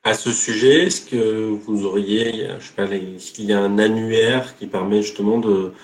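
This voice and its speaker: A man's voice: lowish voice, fairly even intonation, moderate pace, roomy sound. A man moderating a webinar, hesitantly relaying questions from the chat.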